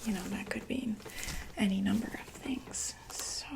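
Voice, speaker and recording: A woman whispering softly in a breathy ASMR medical roleplay, gently and tentatively talking through each step as a doctor. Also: medium pitch, fairly even intonation, a little slow, low-quality recording. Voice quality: breathy, mostly unvoiced whisper.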